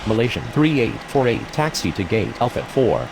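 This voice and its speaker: A man's voice: medium pitch, sing-song, gravelly. A man playing a formal, procedural air traffic controller giving clipped instructions. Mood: businesslike. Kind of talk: clipped aviation radio phraseology. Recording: loud hissy rushing noise.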